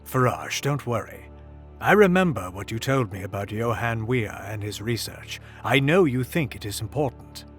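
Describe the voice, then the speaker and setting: Older gentleman played by a man, composed, courteous, dryly witty, mid-pitched with expressive melody, for audio drama. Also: normal speed, faint music behind, lightly acted. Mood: reassuring. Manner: polite, dry, composed. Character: genteel, composed older British gentleman explorer.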